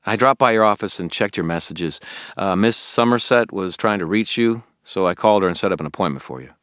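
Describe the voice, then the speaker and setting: An earnest young guy, acted out by a male narrator in an audiobook, pitched up from a deep reading voice. He is blunt and pushy, like a worried friend who has had enough. The sound is close and dry. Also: a little fast, fairly bright tone, no room sound. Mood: insistent, concerned. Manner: emphatic, blunt, informal.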